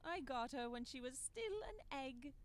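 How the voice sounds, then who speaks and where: An actress playing a perky, easily flustered young heroine in a visual novel. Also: female voice, high voice, moderate pace, natural voice. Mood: flustered, cheerful. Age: young adult. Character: young woman heroine, perky, flustered, chatting to her pet chicken.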